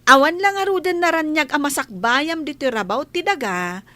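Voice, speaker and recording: A woman's voice: high-pitched, animated, normal speed, pushed and bright, dry recording. A woman with an Ilocano accent acting an outspoken, devout village woman who scolds gossips in a radio comedy-drama, speaking in a preachy, emphatic way. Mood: preachy, scolding.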